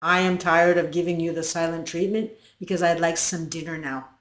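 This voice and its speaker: A congested woman speaking as a cold, manipulative, self-serving partner, in a lowered, quiet voice. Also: fairly even intonation, normal speed, reverberant, natural voice. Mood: cold scheming. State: congested with flu.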